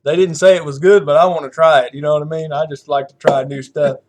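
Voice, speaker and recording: A man's voice: a little high, steady tone, quick pace, background noise. Young southern guy rambling on a podcast, lots of um and like, laughing. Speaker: podcast host. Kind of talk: casual chat.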